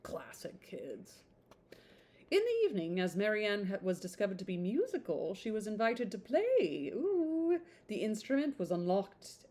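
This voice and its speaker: A woman reading a classic novel aloud in an informal, expressive way, stumbling over some words and adding chatty asides. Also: on the low side.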